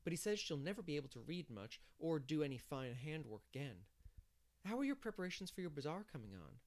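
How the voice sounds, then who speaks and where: A man voicing an earnest young woman schoolteacher in a storybook, eager, proper and gently firm. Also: on the high side, big pitch swings, brisk, pitched above the performer's own voice. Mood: bright.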